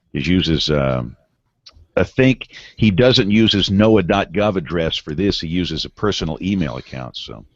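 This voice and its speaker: A man's voice: deep voice, no room sound, highs cut off. A man hosting a weather podcast in a studio, with loose, laughing banter. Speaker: podcast host. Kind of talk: casual chat and show opening. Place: broadcast studio.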